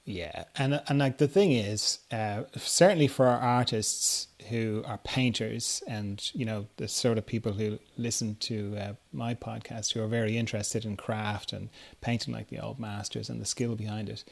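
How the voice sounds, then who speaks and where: A man's voice: on the low side, steady tone, moderate pace, vocal fry, few pauses. Casual man hosting a podcast, thinking aloud. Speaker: podcast host. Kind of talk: casual conversation, reading listener questions.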